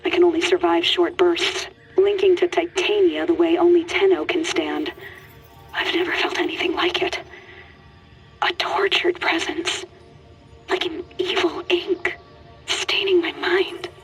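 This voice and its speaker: A woman voicing an anguished, determined female scientist and healer recording a secret log in a video game, breathy and intense. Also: very high voice, big pitch swings, measured pace, faint music behind, exaggerated voice, poor audio. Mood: resolute, haunted. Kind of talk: dramatic video game monologue.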